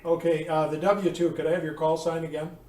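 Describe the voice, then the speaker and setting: A man chatting casually as an amateur radio operator, speaking haltingly with many pauses. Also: fairly high pitch, little pitch movement, mellow. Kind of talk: casual ham radio chat. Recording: roomy, distant mic with faint noise.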